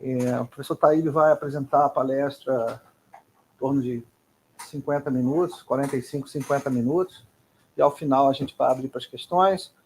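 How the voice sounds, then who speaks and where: A man with a Brazilian Portuguese accent, hosting a seminar and giving a formal, halting introduction while searching for words. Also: medium pitch, fairly even intonation, a little mellow, slight background noise, low-quality recording. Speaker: seminar host.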